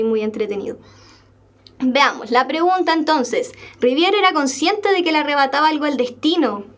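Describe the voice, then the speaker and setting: High-voiced Chilean woman teaching a class. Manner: lively, checks in with students by asking "¿ya?" and "¿verdad?" again and again. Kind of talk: live exam-prep class, reading and explaining a text.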